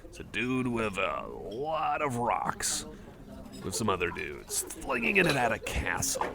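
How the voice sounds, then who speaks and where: A man's voice: mid-range voice, huge pitch swings, a little slow, gravelly. A man chatting casually to the listener in an ASMR roleplay, rambling with a hesitant, geeky enthusiasm. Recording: cafe chatter behind the voice. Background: cafe crowd ambience.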